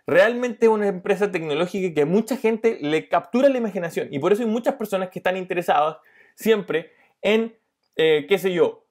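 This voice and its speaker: A middle-aged man coaching viewers through a screen-share tutorial, explaining step by step with fillers and restarts. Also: on the high side. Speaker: YouTube money-making coach.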